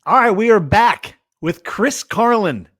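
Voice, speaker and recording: A man's voice: high voice, animated, unhurried, a bit bright, no room sound, clean studio sound. An American man hosting a podcast with casual, conversational sports talk.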